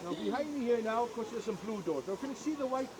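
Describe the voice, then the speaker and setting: A man's voice: extremely high pitch, expressive, fast talker, very noisy. An older Welsh man giving a jokey, chatty guided tour outdoors at a historic castle, often asking the crowd questions.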